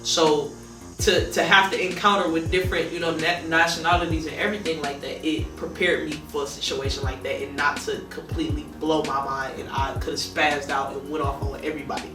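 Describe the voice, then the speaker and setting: A young woman from with a deep, monotone voice tells a personal story in a relaxed, rambling documentary interview. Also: fairly quick. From Detroit. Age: young adult. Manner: laid-back, rambling, heavy with fillers. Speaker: college basketball player. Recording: roomy echo, distant mic, music behind.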